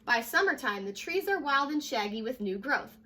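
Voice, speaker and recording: A young American woman reading a children's story aloud like a teacher, addressing young listeners directly in a lively way. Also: high voice, moderate pace, a little hiss or hum. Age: young adult. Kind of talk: children's read-aloud with short asides. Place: living room at home, indoors.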